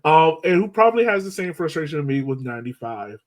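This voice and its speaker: A man's voice: fairly high pitch, sing-song, fast talker. American man hosting a casual sports podcast. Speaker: podcast host.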